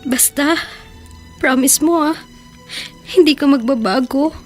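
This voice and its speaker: High-voiced, earnest young woman. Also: slow. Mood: hopeful. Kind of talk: radio drama acting. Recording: music bed behind voice.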